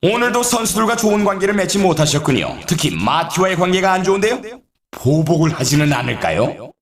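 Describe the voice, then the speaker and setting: High-pitched, excitable race announcer. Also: male voice, fairly quick. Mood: teasing, warning. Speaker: sports game announcer. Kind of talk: scripted race commentary.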